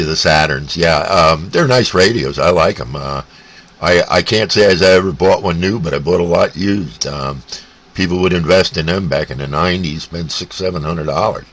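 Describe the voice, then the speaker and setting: A North American man chatting casually as a CB radio operator, in a halting, laid-back way. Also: a bit bright. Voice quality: deep. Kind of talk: casual two-way radio chat. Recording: faint static and radio hiss.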